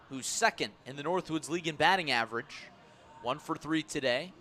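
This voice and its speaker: Bright, punchy male baseball radio announcer. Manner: measured between pitches, swelling to excited calls on plays. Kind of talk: live radio sports commentary.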